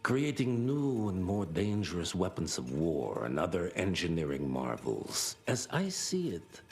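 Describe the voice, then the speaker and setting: An actor playing a grave, menacing vampire elder, delivering theatrical game monologues in an oratorical manner. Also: male voice, low-pitched, huge pitch swings, measured pace, gravelly, a little mellow, exaggerated voice. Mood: grave warning. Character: solemn, menacing male vampire elder addressing his kind. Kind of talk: slow theatrical villain monologue.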